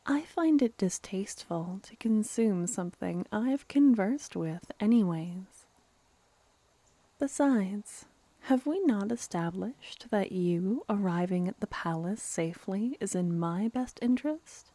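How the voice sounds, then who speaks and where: A woman voicing a playful, clever female vampire in a soft-spoken ASMR fantasy roleplay, teasingly menacing and often near a whisper. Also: mid-range voice, animated, dry recording. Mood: teasing, reassuring. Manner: unhurried, theatrical, teasing, slipping into whispers.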